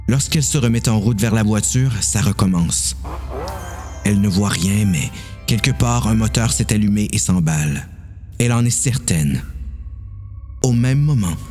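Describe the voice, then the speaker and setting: A man's voice: fairly low pitch, darkish tone. A man with a Quebec French accent narrating a dramatised horror story.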